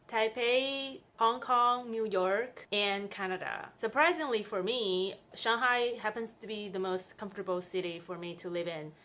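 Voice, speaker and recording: Woman from taiwan vlogging, upbeat and chatty, middle pitch, listing prices. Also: a bit bright. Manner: chatty, upbeat, itemizing costs. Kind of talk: casual explainer vlog.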